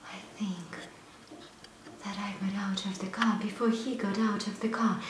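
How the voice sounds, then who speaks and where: An actress performing a stage monologue as a young woman haunted by a painful memory, moving between hushed whispers and loud outbursts. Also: medium pitch, steady tone, normal speed, lightly acted. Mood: hushed recollection. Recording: echoey room, faint noise.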